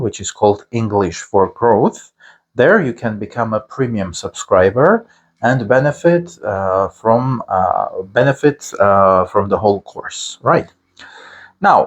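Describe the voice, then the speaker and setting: Armenian-accented male teacher, slow deliberate delivery, pausing often on fillers. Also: mid-range voice. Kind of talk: explanatory lesson to camera.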